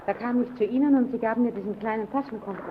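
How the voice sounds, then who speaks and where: A woman's voice: on the high side, rapid-fire, natural voice. An actress playing a curious, persistent young woman visitor in an old radio play, chatty and politely probing with her questions. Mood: chatty, eager. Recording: dull, noisy old radio recording.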